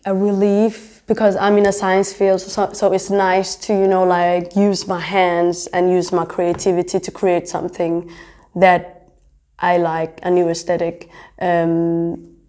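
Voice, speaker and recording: A young woman with a low, mellow voice answers interview questions in a relaxed, chatty way. She fills her sentences with "um" and "like" as she explains her work. Born in Somalia and raised in Denmark. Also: a little slow. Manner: chatty, many fillers like "um" and "like". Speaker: fashion designer and pharmacy student.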